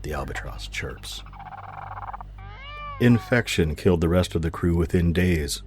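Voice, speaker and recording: Low male narrator for a dark audio drama, grave measured storytelling, soft music behind. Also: animated. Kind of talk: dramatic scripted narration for a fiction podcast.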